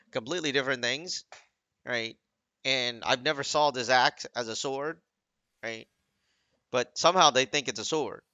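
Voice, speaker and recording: Man, forceful bright voice, casual commentary, nitpicking, dry lo-fi recording. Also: mid-range voice, clear, resonant tone, dry recording. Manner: critical, nitpicking. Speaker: commentator on video game cutscenes. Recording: dull, lo-fi dry microphone recording.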